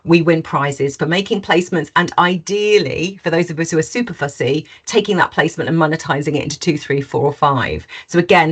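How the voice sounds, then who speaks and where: A woman's voice: low voice, a bit bright, breathy edge. A woman hosting a webinar in an informal, chatty style, with colloquial sales patter. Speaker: webinar host.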